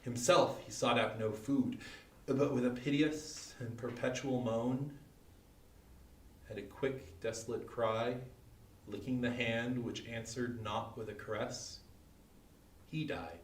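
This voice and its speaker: A man giving a solemn dramatic poetry reading, with theatrical pauses. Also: mid-range voice, steady tone, a little hiss or hum. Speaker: volunteer or library staff reader. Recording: echoey room, distant mic.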